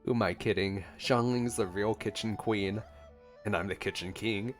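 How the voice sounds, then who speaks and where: A man's voice: lowish voice, steady tone, moderate pace. A man giving casual reaction commentary as a gaming host, playfully talking along with the game's characters. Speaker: gaming video host reacting to a story quest. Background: soft game music.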